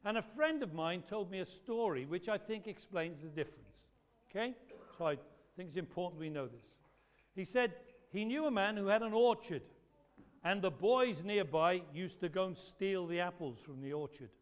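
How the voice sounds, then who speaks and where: A man with a loud voice, preaching an animated talk to children at a church service. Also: high-pitched, normal speed. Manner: lively, emphatic, storytelling to children. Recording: echoey hall reverb.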